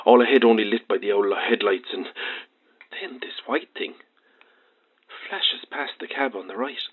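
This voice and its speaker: An actor playing a frightened, agitated Irish truck driver who stammers through a late-night phone-in in an audio drama. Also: male voice, fairly low pitch. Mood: haunted, hushed. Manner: halting, stammering, rambling colloquial storytelling. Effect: phone line. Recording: muffled, tinny phone line.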